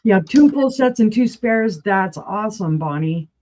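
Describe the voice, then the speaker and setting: A woman running a live auction sale, chatty and enthusiastic, with a voice that is going hoarse. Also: mid-range voice, animated, measured pace, darkish tone. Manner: chatty, enthusiastic, rambling asides. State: losing her voice, clearing throat.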